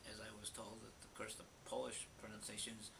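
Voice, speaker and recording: An older American man being interviewed about his family's past, speaking haltingly with many restarts and pauses to search for words. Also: fairly low pitch, monotone, vocal fry. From United States. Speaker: interviewee, parish committee member. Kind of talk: oral history interview. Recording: hissy recording.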